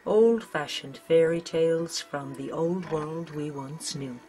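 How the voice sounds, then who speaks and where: A woman's voice: low-pitched, slow, mellow. A woman with an Irish accent narrating a scripted children's storybook. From Ireland. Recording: music under the voice.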